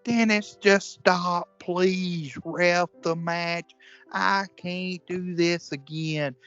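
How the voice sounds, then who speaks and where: A man's voice: high-pitched, steady tone, extremely slow, fairly bright tone, clear, resonant tone, no echo, muffled recording, soft background music, full character voice. A man acting in a comedic audio play as a crude, hot-tempered old-school wrestler, folksy and profane. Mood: frustrated.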